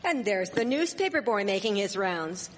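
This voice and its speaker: A woman playing a sharp-witted, proper 1870s townswoman with a wry manner in a live radio drama. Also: medium pitch, big pitch swings, moderate pace, natural voice. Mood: gossipy. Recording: echoey hall, live stage recording.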